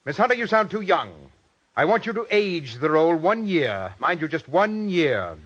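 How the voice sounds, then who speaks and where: Snooty cold director boss, sarcastic n picky, old radio drama, muffled sound. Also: male voice, a little high, big pitch swings, a bit bright. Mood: exasperated, sarcastic. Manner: haughty, precise, sarcastic, drawn-out hesitations. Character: cold, pompous, exacting male radio director. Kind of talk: 1940s radio drama acting. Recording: dull vintage radio recording.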